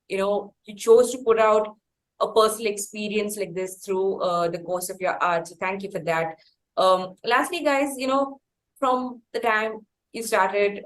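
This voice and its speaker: A woman with an Indian English accent speaks as an interview host at a middle pitch. She is wordy and effusive, pausing often as she builds her questions and responses. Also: a bit bright, low-quality recording. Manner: effusive, wordy, halting delivery with pauses. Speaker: podcast host interviewing musicians. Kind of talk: conversational interview.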